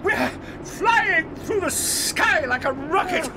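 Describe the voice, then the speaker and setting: An actor playing an eccentric, excitable old male chocolate maker in a full-cast audio drama. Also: very high voice, slow, heavy background noise, heavily acted. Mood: excited. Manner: excitable, theatrical, lively swings.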